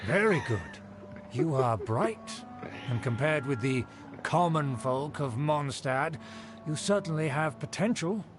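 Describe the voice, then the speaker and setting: A man's voice: on the high side, expressive, unhurried. An actor playing a pompous, snobbish middle-aged nobleman who speaks formally and condescendingly. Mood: haughty. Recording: game dialogue with music behind.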